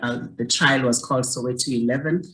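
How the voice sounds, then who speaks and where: A woman's voice: a bass voice, steady tone, a little fast, fairly bright tone, rough recording. A woman in her sixties from South Africa, a community activist telling her story in an interview in an earnest way, often repeating words as she searches for them.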